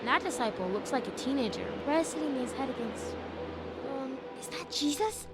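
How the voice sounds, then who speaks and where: High-voiced, curious young girl. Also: expressive, moderate pace, loud music behind the voice. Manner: wide-eyed, colloquial. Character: curious, casual, easily amazed young girl. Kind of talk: children's audio drama acting.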